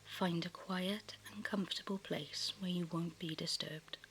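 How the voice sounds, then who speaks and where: A woman guiding a meditation in a soft, breathy, soothing half-whisper, reading as scripted narration. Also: fairly low pitch, fairly even intonation, unhurried, slight background noise, low-quality recording. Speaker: meditation guide. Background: meditation music.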